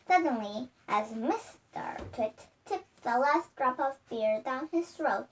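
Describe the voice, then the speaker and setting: A young girl reading a storybook aloud in a careful, halting way. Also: sits high. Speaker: reader. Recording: distant microphone.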